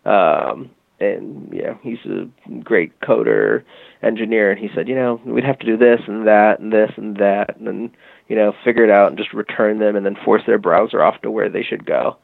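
A man's voice: fairly low pitch, normal speed, creaky, dry recording. A man guesting on an interview, telling a long story in a casual, rambling way, full of fillers and asides. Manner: rambling, many fillers and "you know". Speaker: guest, tech company executive. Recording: dry phone-like line.

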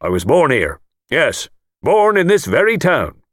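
A man's voice: a little high, huge pitch swings, unhurried, fairly bright tone, no echo, studio quality, voice pushed up, heavily acted. A man voicing a pompous, boastful self-made older lord who talks in a blustering, self-satisfied way.

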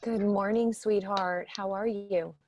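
A woman playing a caring, perceptive middle-aged mother in a radio drama, gentle and concerned. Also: mid-range voice, fairly even intonation, slow.

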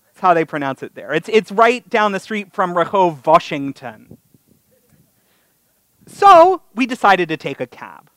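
A man's voice: high-pitched, big pitch swings, unhurried, pauses often, fairly bright tone, dry recording. A man with a North American accent gives a conversational, story-driven sermon as a rabbi, with wry, self-deprecating humour. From North America (US and Canada). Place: live synagogue service.

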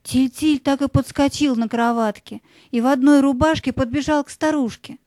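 A woman narrating a scripted fairy tale as an expressive storyteller. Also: sits high, normal speed, no echo, clean studio sound.

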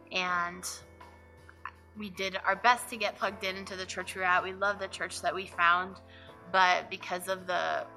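A young adult woman from Nevada reflecting softly on her church testimony in an interview, with fillers and pauses. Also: on the low side. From Reno, Nevada. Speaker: church member giving testimony.

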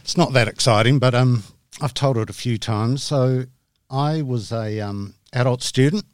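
A man's voice: mid-range voice, normal speed, dry recording, clean studio sound. A middle-aged man with a New Zealand accent, a podcast guest telling his story in a hesitant, self-deprecating way with many ums. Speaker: guest, media director. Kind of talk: casual interview talk.

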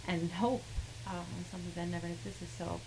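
Arabic-accented woman telling her story, halting, lots of ums, noisy background. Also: on the low side, level tone. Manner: halting, many fillers, laughs between sentences. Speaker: guest sharing a testimony. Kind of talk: personal storytelling.

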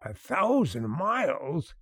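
Radio sitcom boss: male actor as affable older man, high husky voice, avuncular bluster. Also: sing-song, takes it slowly, fairly bright tone, no echo, clean studio sound, heavily acted. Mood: astonished, hearty. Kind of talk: old-time radio sitcom acting.

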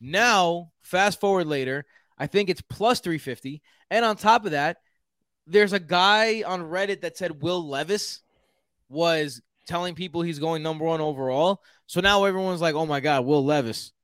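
A man co-hosting a sports podcast in a relaxed, rambling way. He often stumbles and repeats himself mid-thought. His voice sits a little high and sounds close and dry. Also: moderate pace, smooth, no room sound. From Houston, Texas. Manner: chatty, rambling, repeats words while thinking. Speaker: podcast co-host. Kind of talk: casual sports podcast chat.

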